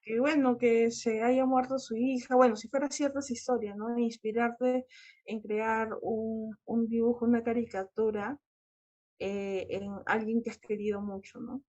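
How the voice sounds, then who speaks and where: A woman with a fairly high voice co-hosts in a casual, conversational way. She is a little halting and seeks agreement, on slightly dull audio. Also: little pitch movement, darkish tone. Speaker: co-host. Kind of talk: casual chat.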